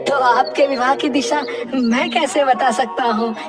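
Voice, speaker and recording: A woman's voice: high voice, steady tone, a little slow, recorded from a distance, over loud music. A woman voicing a timid, polite village man in a cartoon, hesitant and deferential. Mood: puzzled. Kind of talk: cartoon story voice acting.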